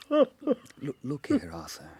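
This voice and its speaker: An actor playing an eager, good-natured British gentleman amateur sleuth in a radio drama, earnest and easily flustered. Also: extremely high pitch, husky, no room sound, exaggerated voice. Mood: reassuring.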